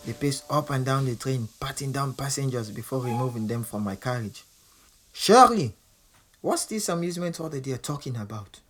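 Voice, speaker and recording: A man's voice: mid-range voice, lively intonation, moderate pace, close to an everyday voice. An actor playing a proud, defiant world champion boxer who narrates his own story in a boastful, wry way for an audio drama. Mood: wry narrating. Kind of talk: audio drama monologue and dialogue. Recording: clear background noise and ambience.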